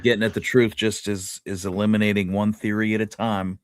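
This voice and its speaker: Low voiced guy cohost, casual talk, kinda halting like he's thinking out loud, dry close sound. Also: level tone, dry recording. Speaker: show co-host. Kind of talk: casual conversational commentary.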